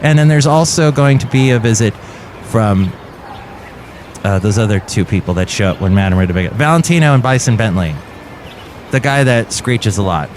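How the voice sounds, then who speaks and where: A man hosting a comedy podcast, rambling jokily with deadpan asides. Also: on the low side, moderate pace, slight background noise. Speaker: podcast host.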